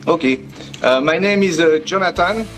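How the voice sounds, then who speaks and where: A man in his forties with a French accent, a guest musician answering in halting English with many pauses. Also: on the high side, a bit bright, soft background music. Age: 40s. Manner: hesitant, many fillers.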